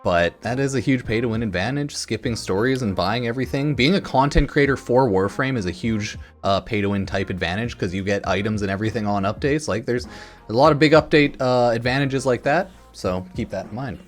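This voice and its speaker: A man's voice: medium pitch, brisk. A man giving casual, rambling opinion commentary as a gaming content creator, informal and sweary, sometimes reading comments aloud. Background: music in the background.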